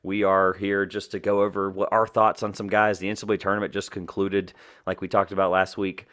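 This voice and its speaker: A man's voice: sits low, level tone, brisk, a bit bright. A man from North Carolina hosting a podcast in a casual, informal and self-deprecating way. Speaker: podcast host.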